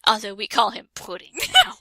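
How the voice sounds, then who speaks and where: A woman's voice: medium pitch, fairly even intonation, fairly quick, gravelly, bright, forceful tone, dry recording, clean studio sound. A woman reading fan fiction aloud in a casual, jokey way, giggling and often breaking off to add her own comments. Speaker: reader hosting a comedic fan fiction reading. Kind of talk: casual, jokey read-aloud with ad-libbed asides.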